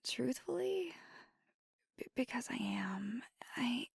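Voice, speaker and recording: A woman playing a nervous, tender vampire girlfriend in an intimate ASMR roleplay, whispering breathily and haltingly with earnest devotion. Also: a little high, big pitch swings, vocal fry, slightly rough, no room sound, heavily acted. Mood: tender, anxious. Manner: hesitant, halting, earnest delivery.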